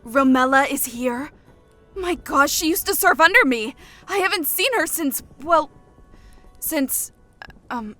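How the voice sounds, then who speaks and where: High, bright, excitable Fae woman played by a woman. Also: slow, long pauses, soft background music, exaggerated voice. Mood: surprised, flustered. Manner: excitable, cheerful, bubbly. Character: cheerful, eager, excitable Fae woman, polite. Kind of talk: audiobook character acting.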